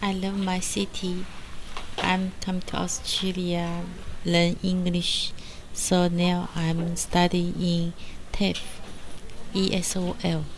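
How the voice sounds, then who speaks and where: A woman's voice: fairly low pitch, monotone, takes it slowly, a little hiss or hum, poor audio. A woman from China, introducing herself in broken English in class. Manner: broken English, plain sentences. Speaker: English student.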